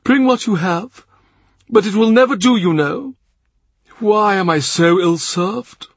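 A man's voice: unhurried, husky, dry recording, heavily acted. A man voicing an affable, teasing older cardinal with grand, coaxing, honeyed speech. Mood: mock dismay. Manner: theatrical, high and coaxing, mock-lamenting.

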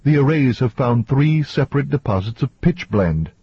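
A man's voice: mid-range voice, lively intonation, moderate pace, slightly rough, dry recording, highs cut off, voice pushed up. A man voicing a cool, precise woman scientist who corrects others matter-of-factly in an audiobook. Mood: insistent. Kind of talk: audiobook character dialogue.

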